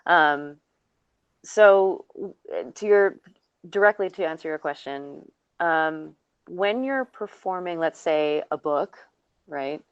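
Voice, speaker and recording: A woman speaking as a panelist, enthusiastic and emphatic, explaining in deliberate phrases on a slightly dull recording. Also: low-pitched, animated, smooth, dry recording. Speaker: voice actor panelist. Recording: dry, slightly dull call audio.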